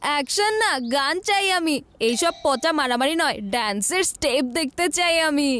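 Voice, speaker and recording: A woman's voice: high voice, expressive, moderate pace, a bit bright, slight background noise. A woman voicing Bunty, a whiny, demanding young boy in a cartoon.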